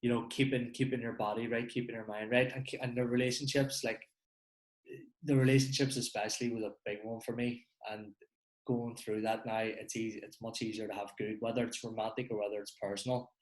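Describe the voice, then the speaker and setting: A man's voice: medium pitch, little pitch movement, a little fast. Northern Irish man in an echoey room. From Northern Ireland. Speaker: podcast host. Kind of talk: casual podcast interview.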